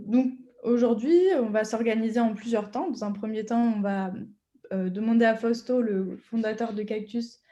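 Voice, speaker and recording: A woman's voice: medium pitch, warm tone, lo-fi sound. A woman hosting an online webinar, explaining the program with frequent hesitations. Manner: hesitant, many fillers. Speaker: webinar host. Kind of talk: webinar moderation.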